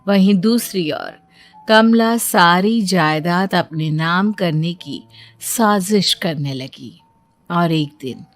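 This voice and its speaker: A woman's voice: on the low side, slow pace, no room sound, soft background music. A woman storyteller giving a scripted narration.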